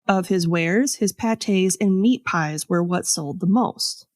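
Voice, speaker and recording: A woman podcast host telling a scripted story in a conversational way, with pauses for dramatic effect. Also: fairly low pitch, a little mellow, no echo, clean studio sound. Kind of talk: scripted storytelling with casual asides.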